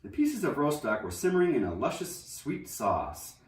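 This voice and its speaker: A man reading a children's novel aloud in an expressive storybook narration style. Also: fairly high pitch, moderate pace. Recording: roomy echo, distant microphone.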